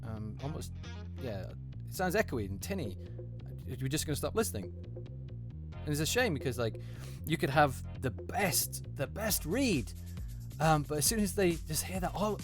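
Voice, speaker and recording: A man speaking as a voice actor in an unscripted, casual critique, hesitant and repeating words for emphasis, in a treated recording booth. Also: on the high side. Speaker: voice actor reviewing auditions. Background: music.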